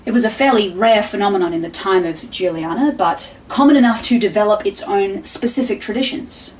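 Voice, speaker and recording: An actress plays a courteous, articulate defense attorney making a formal opening statement in an audio drama. Her voice is medium in pitch and composed as she explains things to the jury. She sounds somewhat distant from the mic, with faint noise behind her. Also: a little fast, a little mellow, lightly acted. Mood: composed, explanatory.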